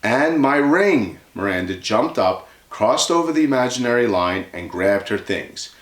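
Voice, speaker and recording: A man reading a children's storybook aloud as a guest reader, in an expressive teacherly way. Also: lowish voice, normal speed, reverberant.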